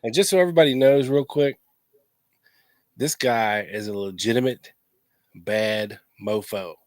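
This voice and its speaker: Stuffy low-voiced co-host, dry sound. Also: male voice, unhurried, dry recording. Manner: energetic and joking. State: stuffy, coming down with a cold. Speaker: podcast co-host. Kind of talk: casual chat.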